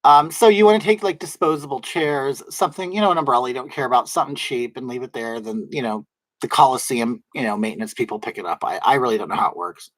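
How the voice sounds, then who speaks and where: Soft-voiced man chatting on a livestream. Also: mid-range voice, quick pace, no echo, poor audio. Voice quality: soft, feminine-sounding voice. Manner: rambling, chatty, many fillers and restarts. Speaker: livestream host. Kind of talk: casual livestream chat answering viewers. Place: at home in drag, wig on.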